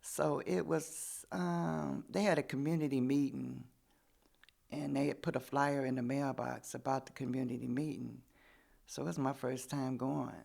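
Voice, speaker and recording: Chatty seventy-something woman, gravelly voice. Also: extremely low pitch, fairly even intonation, normal speed, dark tone. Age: 70s. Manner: chatty, repeats what people said to her. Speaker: community volunteer, guest. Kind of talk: casual storytelling.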